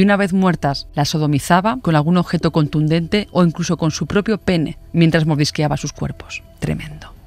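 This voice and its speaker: A woman telling a scripted true crime story as a podcast host, with rhetorical asides. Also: low voice.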